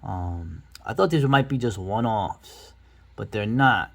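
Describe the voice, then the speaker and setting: A man's voice: lowish voice, animated, slow pace, gravelly, fairly bright tone. A middle-aged man giving casual, rambling, enthusiastic commentary as the host of a video review.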